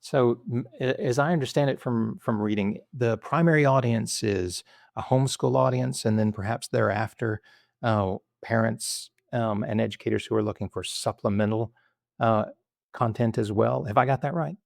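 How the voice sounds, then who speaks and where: A male podcast host with a gravelly, creaky voice at an ordinary pitch. He speaks haltingly and often restarts his sentences while introducing and questioning his guest. The sound is dry and close, with no room echo. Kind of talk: interview hosting.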